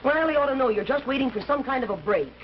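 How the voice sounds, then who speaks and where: An actress with an American accent playing a detective's devoted, teasing wife in old-time radio drama style, wry and coaxing. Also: female voice, high-pitched, animated, quick pace. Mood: reassuring. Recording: dull, noisy old radio recording.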